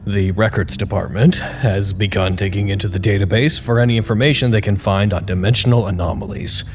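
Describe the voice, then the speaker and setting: An actor playing a wry, deadpan announcer reading chatty status reports in a scripted audio drama broadcast. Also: male voice, on the low side, a little fast, lightly acted. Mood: wry, dry. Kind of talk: scripted in-character radio broadcast. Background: music.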